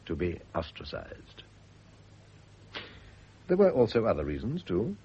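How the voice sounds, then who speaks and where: An actor playing an evasive, urbane middle-aged British gentleman in a radio drama, speaking hesitantly. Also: low voice, wildly swooping pitch, a little slow, heavily acted. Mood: brooding, cynical. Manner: hesitant, urbane, falsely breezy. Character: evasive, self-deluding middle-aged English gentleman, newly married. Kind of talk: 1970s radio drama acting. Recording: dull, noisy lo-fi old radio recording.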